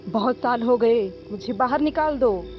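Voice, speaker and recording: A woman's voice: mid-range voice, slow, muffled recording, over music, natural voice. A woman voicing an eerie, demanding female ghost of an old well in a horror cartoon. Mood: pleading, eerie. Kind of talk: Hindi horror cartoon voice acting.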